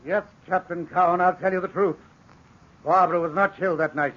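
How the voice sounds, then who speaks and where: A cool-headed professor in an old radio mystery, speaking in a slightly high, measured voice. The sound is muffled and dull like a vintage broadcast. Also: male voice, fairly even intonation. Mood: calm, assured. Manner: measured, composed, explanatory. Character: calm shrewd male professor who unmasks a killer. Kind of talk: 1940s radio acting.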